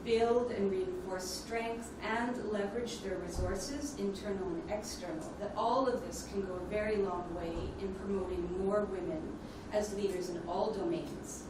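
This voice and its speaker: A woman giving a prepared conference presentation as a speaker on leadership development, in a measured, explanatory way. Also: mid-range voice. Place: indoor conference plenary room. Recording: echoey hall, distant mic, noisy ambience.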